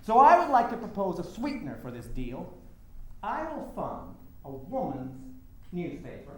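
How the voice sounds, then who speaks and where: A man's voice: high-pitched, wildly swooping pitch, moderate pace, fairly bright tone, exaggerated voice. A man playing a rich, pompous political showman who woos and interrupts in grand oratory, in a staged play reading. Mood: boastful, expansive. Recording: very echoey hall, faint background noise.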